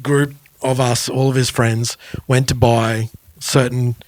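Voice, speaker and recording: A man's voice: mid-range voice, little pitch movement, slow pace, dry recording. A man co-hosting a podcast, telling anecdotes in a casual, informal way. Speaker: podcast co-host. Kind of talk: casual music podcast chat.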